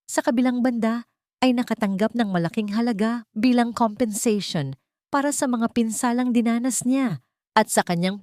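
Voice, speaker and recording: A woman's voice: medium pitch, a little mellow, no echo, studio quality. A woman reading a scripted romantic story as a dramatic narrator.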